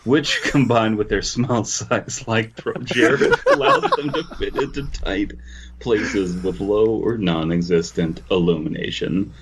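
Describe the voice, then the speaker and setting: A man co-hosting a horror fiction podcast, reading aloud and chatting in a halting, giggly way. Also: on the low side, wildly swooping pitch, heavy background noise. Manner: halting, many fillers and restarts, giggly. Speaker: podcast co-host reading stories.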